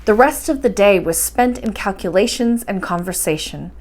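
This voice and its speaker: A woman's voice: medium pitch, lively intonation, normal speed, a little mellow. A woman reading a storybook aloud in an audiobook-style narration. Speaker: storybook reader.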